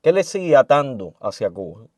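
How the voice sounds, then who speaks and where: A man conducting an interview, asking measured, formal questions. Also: medium pitch, big pitch swings, darkish tone, dry recording, clean studio sound.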